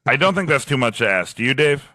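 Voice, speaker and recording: A man with a deep voice and a slight lisp, co-hosting a casual podcast, sarcastic and self-mocking, laughing often. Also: sing-song, quick pace, sharp tone, no room sound, studio quality. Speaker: podcast co-host. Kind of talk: casual chat.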